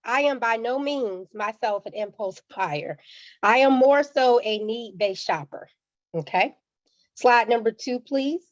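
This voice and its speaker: A woman's voice: on the high side, measured pace, no echo, highs cut off. Woman giving a teacherly finance talk. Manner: teacherly, checks in with "okay?". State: under the weather, slightly raspy. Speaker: guest speaker on personal finance. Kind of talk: church community finance presentation.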